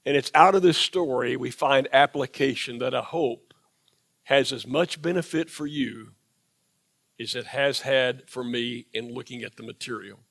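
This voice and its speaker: A man's voice: medium pitch, a little slow, gravelly, a bit bright. A man with a Southern US accent preaching a sermon in church, reflective and retelling scripture in his own words. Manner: reflective, paraphrases scripture in first person. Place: church sanctuary.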